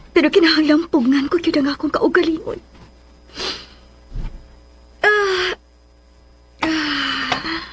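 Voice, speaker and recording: An actress with a Cebuano accent playing a tender, wistful, sighing woman who hides her feelings in a radio drama. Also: high-pitched. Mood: uneasy.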